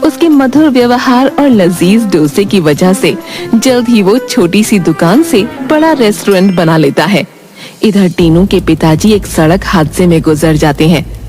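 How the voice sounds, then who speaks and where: A woman's voice: medium pitch, darkish tone. Lady narrating a kids moral story, scripted, mid voice, bg music.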